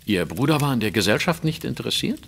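A man's voice: medium pitch, big pitch swings, fast talker, gravelly, a bit bright, slightly rough, exaggerated voice. An actor playing a keen, courteous and commanding male detective in a German audio drama, speaking formally and precisely. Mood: probing.

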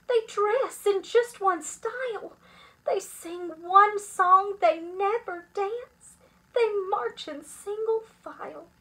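Woman acting an excitable, high-pitched schoolchild. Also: takes it slowly, full character voice, rough recording. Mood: horrified. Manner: high, exclaiming, melodramatic. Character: dramatic chorus-like schoolchild, excitable and outspoken.

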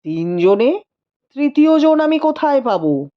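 A woman's voice: sits high, big pitch swings, slow pace, dull audio, heavily acted. A woman voicing a learned, caring husband with a formal, questioning manner in a storybook reading. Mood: puzzled, questioning. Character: learned physician husband, adult man, caring, puzzled.

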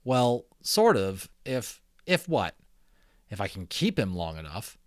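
A man's voice: on the low side, big pitch swings, slow pace, dry recording, clean studio sound. A man reading an earnest, secretive young boy.

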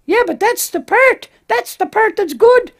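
A woman voicing an excitable, childlike little lamb with an earnest, emphatic manner in a children's puppet show. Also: very high voice, measured pace, exaggerated voice. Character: excitable little lamb, easily upset, devoted to friend.

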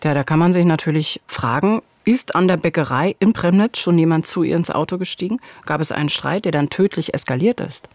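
A woman's voice: deep voice, fairly quick, few pauses, darkish tone, dry recording. A woman in her forties presenting a true-crime story as scripted narration in dialogue, at a studio microphone. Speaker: true-crime podcast host. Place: small dark studio. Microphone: studio boom microphone, headphones.

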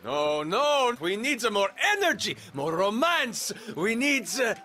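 A man's voice: sits high, very animated, a little slow, sharp tone, a little hiss or hum, heavily acted. A man playing a flamboyant, fussy fashion photographer in a cartoon, effusive and demanding. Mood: frustrated. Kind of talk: cartoon drama.

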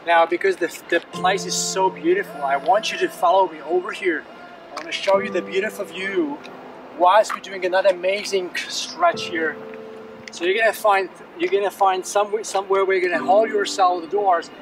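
High-voiced coach heard from afar outdoors. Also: male voice, moderate pace. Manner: calm, encouraging, repeats words often. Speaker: fitness coach. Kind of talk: guided stretching instruction. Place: outdoors on a windy seaside headland. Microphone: far camera. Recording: distant outdoor audio with music.

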